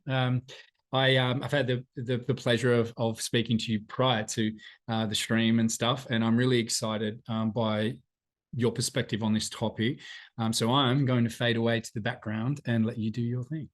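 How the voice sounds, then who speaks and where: Halting man hosting a call. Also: mid-range voice, little pitch movement. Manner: hesitant, halting, with many fillers. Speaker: host.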